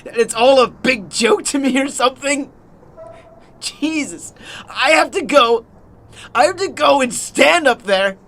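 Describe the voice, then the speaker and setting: A man playing a struggling young comedian and husband in a radio drama, halting, wounded and defensive. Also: extremely high pitch, animated, a bit bright, heavily acted. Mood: anguished outburst. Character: struggling young comedian husband, anxious, bitter and self-pitying.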